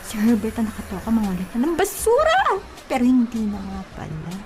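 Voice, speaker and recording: A woman acting as a feisty young Filipina housemaid in a comic audio drama. Her high voice swoops widely between coy teasing and sassy scolding, exaggerated and easily flustered. Music plays underneath. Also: wildly swooping pitch, a little fast, dark tone. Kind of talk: melodramatic Filipino radio-style comedy drama.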